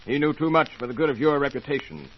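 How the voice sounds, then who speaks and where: Casting: authoritative, pointed detective in vintage radio drama, medium register, formal, lo-fi sound. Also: male voice, mid-range voice, big pitch swings, fast talker, slightly rough. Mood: accusing. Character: shrewd, authoritative police inspector, methodical accuser. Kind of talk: old-time radio mystery acting. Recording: muffled, lo-fi old radio recording with hiss.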